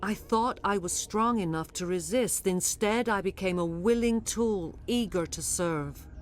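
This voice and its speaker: A woman playing a grave alien matriarch, a mind-controlled servant, speaking formally and with measured drama in a video game. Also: mid-range voice, lightly acted. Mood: solemn, haunted.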